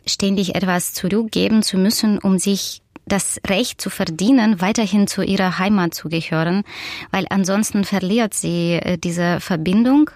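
A woman's voice: lowish voice, a little mellow, no echo. Hesitant woman author, very dry sound. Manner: thoughtful, hesitant with frequent fillers. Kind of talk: interview about her novel.

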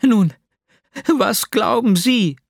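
A man's voice: on the high side, animated, a little slow, dry recording, clean studio sound, pitched above the performer's own voice. Man voicing a distraught, lovesick young woman. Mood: indignant, hurt. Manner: impassioned, pleading, exclamatory. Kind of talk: dramatic audiobook character reading.

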